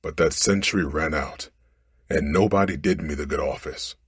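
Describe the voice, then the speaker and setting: A menacing storybook genie, played by a man, speaks low and very husky. He makes stern, commanding pronouncements in archaic language, unhurried and close in a dry recording. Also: no echo, exaggerated voice. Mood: bitter, vengeful. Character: stern, ungrateful, menacing genie freed from long imprisonment. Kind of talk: storybook character voice.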